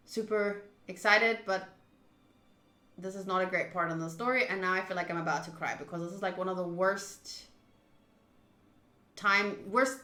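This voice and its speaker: A woman narrates a visual novel for a live stream and chats between passages. Her voice is bright and clear-cut in tone, with an expressive, chatty delivery. The sound is noticeably echoey, as from a reflective room. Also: mid-range voice. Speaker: game streamer. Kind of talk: live visual novel playthrough, reading text aloud and chatting.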